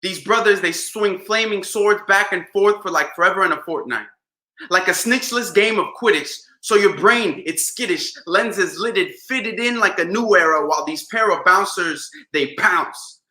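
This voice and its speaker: A man's voice: high-pitched, moderate pace, bright, forceful tone, echoey room. A man performing a spoken word poem with a rhythmic, dramatic delivery and shifting pace. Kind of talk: slam poetry performance.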